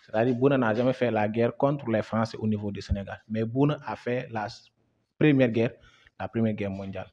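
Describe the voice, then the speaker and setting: A man's voice: fairly low pitch, a little fast, darkish tone. A young man from Senegal, a history student, giving a guided museum tour, hesitant and full of fillers and repeated words. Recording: dull lo-fi sound.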